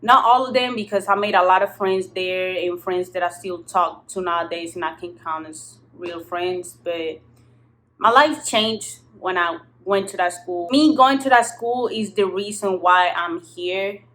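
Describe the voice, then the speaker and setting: A young woman from southern Spain speaks in a fairly low voice, as a native Spanish speaker using casual, slangy English. She tells her story frankly to a camera a little way off in her apartment, swearing freely. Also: measured pace, fairly bright tone, poor audio. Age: young adult. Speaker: vlogger. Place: apartment kitchen-living room.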